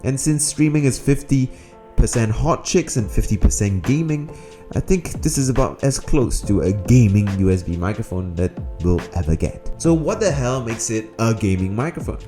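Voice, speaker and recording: A man with a booming, low, slightly hoarse voice reviews a USB microphone in a casual, dryly sarcastic way, tired late at night. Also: animated, normal speed, mellow, roomy sound, soft background music. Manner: dry, sarcastic humor. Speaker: tech reviewer. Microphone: USB condenser microphone close-up.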